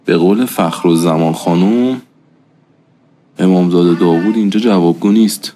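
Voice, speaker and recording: An actor playing a gruff, blustering street tough in a radio drama, with slangy speech. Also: male voice, low voice, warm tone, slight background noise, close to an everyday voice. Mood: irritable, blustering. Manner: blustering, slangy, mock-threatening. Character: gruff street tough man, irritable, colloquial. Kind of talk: nostalgic radio drama acting.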